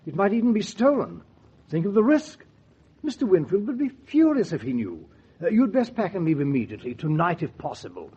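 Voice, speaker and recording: A man's voice: sits high, sing-song, fairly quick, warm tone, breathy edge, heavily acted. An actor playing a fussy, prim, smugly suspicious man with mock-polite manners, in 1940s radio acting style. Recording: dull vintage 1940s radio recording.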